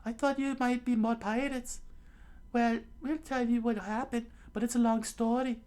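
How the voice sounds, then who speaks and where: A man voicing a sharp-tongued, exasperated young woman pirate in a scolding falsetto for a read-aloud story. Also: little pitch movement, moderate pace, clear, resonant tone. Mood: relieved.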